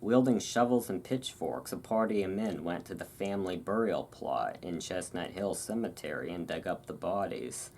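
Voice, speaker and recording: A host giving a relaxing ASMR reading of a historical article, with relaxed, informal delivery. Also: deep voice, normal speed, creaky, hardly any pauses, a little hiss or hum.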